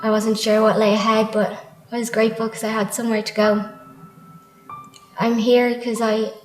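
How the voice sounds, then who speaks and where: An actress playing a sorrowful teenage girl giving a measured, subdued first-person testimony. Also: a little high, completely flat delivery, roomy sound, soft background music, natural voice, low-quality recording. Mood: subdued, ashamed. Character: sorrowful teenage girl recounting mother and baby home.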